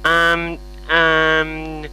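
Man putting on a muddled, high-pitched Irish caller. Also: monotone, drawn-out words, bright, forceful tone, slight background noise, full character voice. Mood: confused, hesitant. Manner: muddled, hesitant, repetitive. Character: confused, apologetic hard-of-hearing man of forty-two. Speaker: prank caller. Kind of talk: prank phone call. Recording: humming phone call recording.

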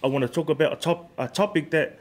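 A fairly high-voiced man with a Samoan accent, in his thirties, speaking earnestly into a desk mic. He talks in a small indoor studio with a lively, gesturing delivery. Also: fast talker. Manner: earnest, animated hand gestures. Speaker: guest, health show host. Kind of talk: casual studio chat. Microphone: headset with desk mic.

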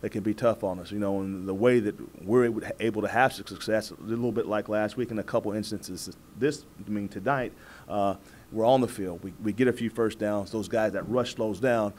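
An American man in his forties, a football coach answering press questions in a measured, halting way, repeating words as he goes. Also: fairly low pitch, fairly even intonation, darkish tone, a little hiss or hum. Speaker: college football head coach. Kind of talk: postgame press conference answers. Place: indoor press room at a table before a backdrop. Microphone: several press microphones on the table.

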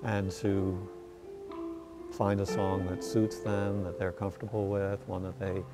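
A man in his sixties giving a measured documentary interview, often pausing mid-sentence. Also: deep voice, flat delivery. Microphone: lapel mic. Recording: music under the voice.

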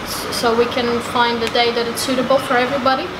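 A woman's voice: fairly high pitch, little pitch movement, fast. A young woman, a mountain guide, chatting casually as a podcast guest in a coffee shop, friendly and hesitant. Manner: friendly, hesitant with many fillers. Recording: echoey cafe with loud background hum.

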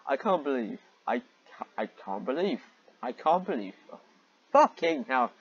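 Husky, exasperated man reading aloud. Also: medium pitch, wildly swooping pitch, unhurried, lo-fi sound. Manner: exasperated, sweary, sarcastic. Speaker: reader and commentator of fan fiction. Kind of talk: reading fan fiction aloud with mocking asides.

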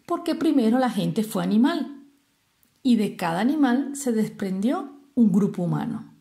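A woman from Venezuela with a Venezuelan Spanish accent, telling a traditional tale expressively and explaining things as she goes, with deliberate pauses. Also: fairly high pitch, big pitch swings, vocal fry, mellow. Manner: didactic, explains terms with rhetorical questions and pauses. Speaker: storyteller.

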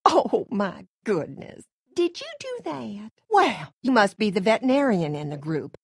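A woman's voice: mid-range voice, big pitch swings, a little slow, husky, no echo, studio quality, heavily acted. A woman playing a middle-aged Southern farm mother from a video game, with folksy, effusive hospitality and a controlling streak. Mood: kindly. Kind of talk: video game character acting.

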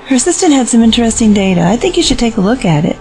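A woman's voice: a little high, big pitch swings, racing, darkish tone, soft background music. A woman playing a practical, open-minded business investor in an audio drama, polite and reasonable. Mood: wry, firm.

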